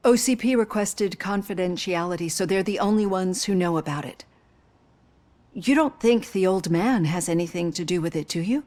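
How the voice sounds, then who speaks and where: An actress playing a psychologist in her fifties who asks gentle, probing questions. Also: on the low side, normal speed, close to an everyday voice. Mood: earnest. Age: 50s. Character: female psychologist in her fifties, caring and composed.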